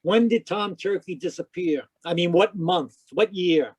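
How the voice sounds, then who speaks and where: A man plays a hard-boiled private eye in a vintage-style radio mystery. His voice sits fairly high, and he is wry, persistent and matter-of-fact. The sound is very dry and close. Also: a little slow, darkish tone, slightly rough, dry recording. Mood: pressing. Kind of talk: old-time radio detective narration and dialogue. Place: close dry sound, remote live performance.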